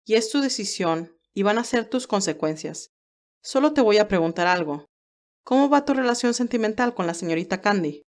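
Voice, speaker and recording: A woman's voice: medium pitch, expressive, normal speed, lightly acted. A woman playing a stern, protective male advisor. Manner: earnest, admonishing. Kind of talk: audio drama dialogue.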